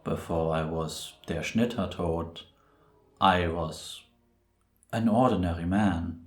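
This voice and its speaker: Man voicing a deep, very slow, solemn Death. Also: fairly even intonation. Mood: calm, reflective. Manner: measured, grave, deliberate, cryptic. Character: solemn, gentle male Death, a reaper who was once human. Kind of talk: cinematic audio roleplay acting. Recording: roomy, reverberant sound.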